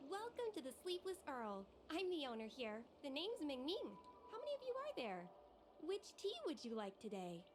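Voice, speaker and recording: A woman's voice: high voice, wildly swooping pitch, moderate pace, noisy background. A woman voicing an earnest, cheerful young female tea house owner in a video game, polite and heartfelt. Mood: bright welcome.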